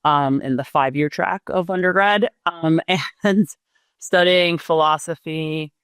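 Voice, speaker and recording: A woman in her forties from Israel, a real estate agent answering interview questions in a measured way with frequent fillers. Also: deep voice, clean tone, dry recording, studio quality.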